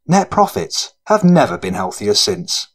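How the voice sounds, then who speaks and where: A man's voice: fairly low pitch, big pitch swings, a little slow, breathy edge. A man reading scripted narration for a gritty fiction audiobook.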